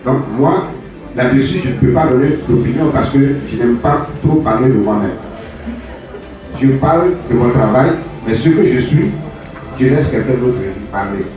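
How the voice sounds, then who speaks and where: A man answers audience questions as an author, speaking deliberately and repeating phrases for emphasis. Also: medium pitch. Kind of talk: spoken answers at a public book presentation. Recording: echoey hall, distant mic, music behind.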